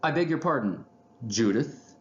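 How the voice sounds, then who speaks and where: A man's voice: mid-range voice, expressive, measured pace, reverberant, dull audio, a little hiss or hum, pitched above the performer's own voice, natural voice. Man playing a pompous old owl lecturer, theatrically swooping. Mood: courteous. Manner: commanding, dramatic flair, oratorical. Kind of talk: children's storybook character reading.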